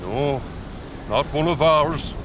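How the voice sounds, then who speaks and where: A dogged, defiant tracker in a gothic audio drama. He speaks slowly and earnestly in a high voice, over clear outdoor background noise. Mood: grave, determined. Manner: solemn, plain-spoken, earnest. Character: grave, dogged, defiant tracker serving a doomed lord.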